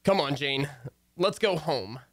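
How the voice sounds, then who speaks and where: A man's voice: on the high side, expressive, slow, fairly bright tone, no echo, clean studio sound, heavily acted. A man playing a wry, exasperated husband who also narrates an old-time radio comedy.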